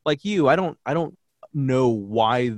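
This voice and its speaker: A man's voice: medium pitch, expressive, measured pace, a little mellow, no echo. Earnest man with a dry, close sound. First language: English. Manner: earnest, reflective, with frequent restarts. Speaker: German teacher and podcast guest. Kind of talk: conversational podcast interview.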